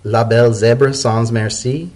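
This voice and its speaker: A man voicing a brisk, wry female pony press secretary, stubborn and incredulous, in a dramatic story reading. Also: medium pitch, fairly even intonation, darkish tone, natural voice.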